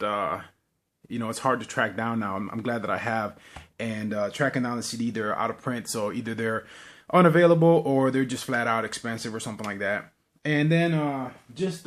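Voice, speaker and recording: Man, medium pitch, casual vlogger, unscripted show-and-tell, halting and rambling, repeats words. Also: a bit bright.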